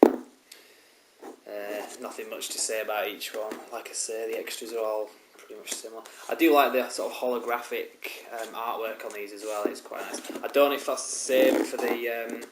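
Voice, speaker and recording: Low-voiced man with a cold, casual rambling reviewer, echoey room with loud hiss. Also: steady tone, normal speed. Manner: rambling, many ums and restarts. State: has a head cold. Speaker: DVD reviewer. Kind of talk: casual show-and-tell review. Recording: roomy echo, loud background hiss.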